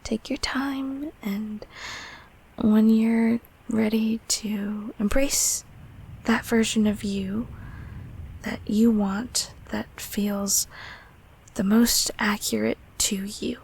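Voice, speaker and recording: Woman as a soft, breathy, gentle closet monster. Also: a little high, fairly even intonation, heavily acted, low-quality recording. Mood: warm, reassuring. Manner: slow, hesitant, tenderly reassuring. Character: gentle, affectionate closet monster, former child-scarer turned comforter. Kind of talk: intimate comfort audio roleplay.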